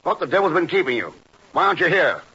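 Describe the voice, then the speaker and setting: An actor playing an unflappable secret agent in 1950s radio drama, clipped, wry and commanding. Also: male voice, on the high side, very animated, fast talker, pushed and bright, husky, exaggerated voice. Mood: exasperated. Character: unflappable secret agent, brisk, blunt American-style radio hero. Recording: muffled 1950s radio recording, faint hiss.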